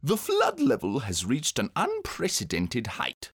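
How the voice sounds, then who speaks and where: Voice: medium pitch, wildly swooping pitch, measured pace, creaky, fairly bright tone, husky, no echo, clean studio sound, voice pushed up, heavily acted. A reader voicing a self-important male owl in a children's storybook, grave, wordy and ponderous. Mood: pompous. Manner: ponderous, grave, long-worded.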